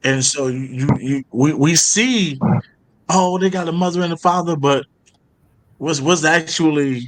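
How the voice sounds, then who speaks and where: A man's voice: fairly high pitch, unhurried, low-quality recording. A man hosting a casual podcast, laid-back and slangy, often repeating words as he gets a sentence going. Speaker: podcast host.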